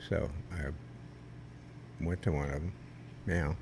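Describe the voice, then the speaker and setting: Older guy rambling through old stories, loud carrying voice, gravelly and low. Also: fairly even intonation, background noise. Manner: rambling, halting, with many restarts; loud voice that carries. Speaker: guest, Vietnam veteran. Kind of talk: casual storytelling interview.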